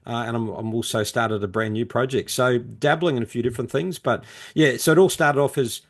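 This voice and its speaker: Middle-aged male, mid-pitched voice, podcast guest and author giving conversational interview answers. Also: fairly quick. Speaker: podcast guest, crime novelist.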